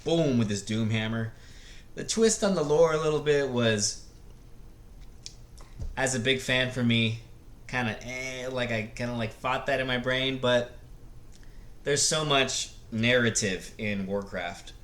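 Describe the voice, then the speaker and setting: A man giving casual, unscripted movie commentary as a video host, often halting to search for words. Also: mid-range voice, a bit bright. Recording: echoey room, faint background noise.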